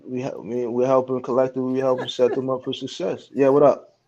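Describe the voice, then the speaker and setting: A man's voice: medium pitch, flat delivery, fast talker, mellow, lo-fi sound, a little hiss or hum. A man in casual conversation with a colloquial, slangy way of talking. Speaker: online trucking content creator. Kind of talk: casual chat.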